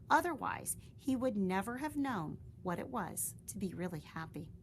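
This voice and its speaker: Woman narrator for a children's read-aloud; warm storytelling, faint room noise. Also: lowish voice, rough recording. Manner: expressive, gentle storytelling.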